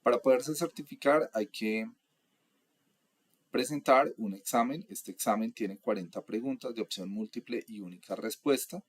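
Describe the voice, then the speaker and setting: A young adult man from Colombia, explaining course material in a steady, methodical lecture. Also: medium pitch, moderate pace, slightly rough. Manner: steady, methodical, lists items one by one. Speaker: instructor.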